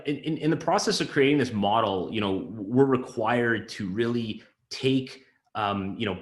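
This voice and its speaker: A man explaining technical work as a presenter, haltingly, repeating words and breaking off phrases. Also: on the low side, fairly bright tone. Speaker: systems modeler presenting his work. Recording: roomy echo.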